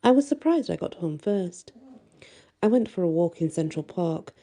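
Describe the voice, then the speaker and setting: Woman, very dark mellow tone, slightly creaky, soft, expressive bedtime storytelling. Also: on the low side, moderate pace. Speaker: audiobook reader and storyteller. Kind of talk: expressive first-person bedtime story reading.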